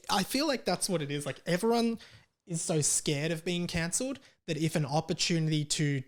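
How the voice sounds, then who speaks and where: An Australian man talks solo as a podcast host, with a fairly high-pitched voice. His delivery is casual and rambling, full of opinion and happy to swear.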